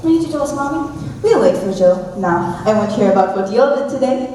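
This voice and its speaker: A woman playing a gentle, earnest member of a nineteenth-century family in a school stage play. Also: medium pitch, animated, fast talker, slight background noise, natural voice. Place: school stage, recorded from the audience. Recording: echoey hall, far microphone, faint music.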